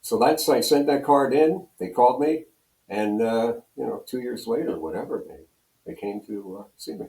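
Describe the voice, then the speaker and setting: A man's voice: medium pitch, moderate pace, dark tone. An American man in his seventies telling stories in a casual, rambling way as an interview guest, often repeating his phrases. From Pennsylvania, United States. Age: 70s. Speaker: interview guest, radio program director and on-air personality. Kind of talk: casual storytelling reminiscence. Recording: roomy, echoing room sound.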